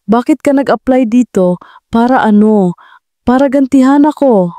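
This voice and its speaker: A woman voicing a wounded 42-year-old woman boss, clipped and cold, turning sharp in anger. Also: on the high side, moderate pace, dry recording, voice pushed up, lightly acted. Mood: angry.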